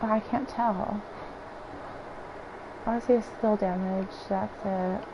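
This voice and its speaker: A hoarse woman with a raspy voice, chatting and thinking aloud in casual gameplay commentary as she streams. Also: medium pitch, fairly even intonation, slow. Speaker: game streamer. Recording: dull lo-fi stream audio with faint noise.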